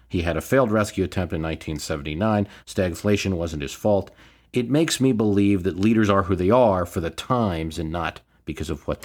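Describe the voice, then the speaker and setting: American man, deep-pitched solo podcast host, explanatory commentary with measured, opinionated delivery. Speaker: history and politics podcast host.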